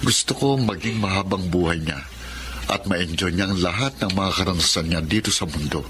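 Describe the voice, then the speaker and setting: A man playing a doting, playful expectant father in a Filipino radio drama, gentle and affectionate. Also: low voice, normal speed, slight background noise. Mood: tender, hopeful.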